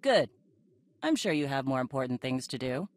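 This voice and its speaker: A woman's voice: extremely low pitch, sing-song, moderate pace, no room sound. An actress playing a tough, dry-witted female Mandalorian leader in a video game, assured and wry. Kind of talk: video game cutscene acting.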